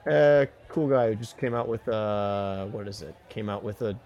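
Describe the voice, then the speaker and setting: A man's voice: on the low side, normal speed, darkish tone, highs cut off. A man chatting casually about films as a co-host on a podcast.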